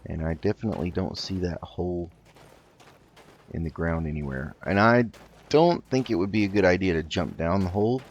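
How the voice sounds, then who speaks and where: A man doing casual game commentary, thinking aloud in an unhurried, musing way as he roleplays. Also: deep voice, highs cut off, a little hiss or hum. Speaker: gaming host.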